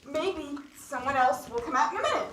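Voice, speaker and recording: Young woman playing a flustered high-pitched stage Hamlet, echoey. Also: animated, a bit bright. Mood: awkward, puzzled. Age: young adult. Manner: halting, groping grandly for half-remembered lines. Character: flustered bewildered actor thrust unprepared into playing Hamlet. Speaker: student actor. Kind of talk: comic stage acting. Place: school theatre stage. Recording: echoey stage, distant mic, faint noise.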